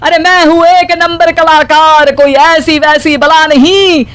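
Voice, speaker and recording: A man's voice: very high-pitched, level tone, slow pace, a bit bright, exaggerated voice. A man voicing a boastful elderly mother-in-law who declaims pompously in rhymes in a cartoon comedy story. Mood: boastful, defiant.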